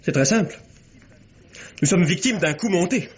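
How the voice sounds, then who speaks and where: An actor playing a curt, suspicious young scoundrel in a French radio drama, husky, with terse questioning delivery. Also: male voice, sits high, animated, moderate pace. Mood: bitter, certain. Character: young scoundrel, secret protégé of married woman, curt, suspicious. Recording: muffled old radio drama recording.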